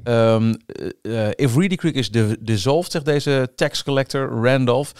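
A man's voice: mid-range voice, a little slow, darkish tone, smooth, dry recording, clean studio sound. A man co-hosting a podcast in a casual discussion, speaking bluntly and informally with a hesitant, stop-start delivery. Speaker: podcast co-host.

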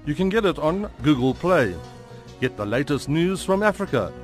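A man's voice: a little high, big pitch swings, normal speed, faint music behind. A man reading a radio station advert in an upbeat manner. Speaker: station announcer.

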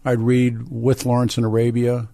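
An American man hosting a call-in radio show, who talks discursively, halting and repeating words as he thinks aloud. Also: medium pitch, monotone, gravelly, mellow, no echo. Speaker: radio talk show host. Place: radio studio. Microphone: close studio microphone.

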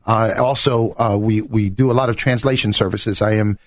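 A man's voice: fairly low pitch, steady tone, normal speed, dry recording. A middle-aged man from New York talking conversationally as a political candidate in a radio interview. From New York, United States.

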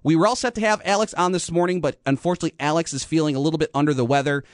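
An American man hosting a motorsport radio show, talking informally and haltingly, often rephrasing mid-sentence. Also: fairly high pitch, no echo, clean studio sound. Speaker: radio host. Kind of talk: motorsport talk radio monologue.

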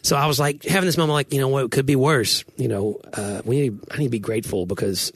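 A man's voice: medium pitch, fairly even intonation, fast talker, slightly rough, dry recording, studio quality. A man, co-hosting a podcast and telling chatty stories, laughing often. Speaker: podcast co-host. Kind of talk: casual chat.

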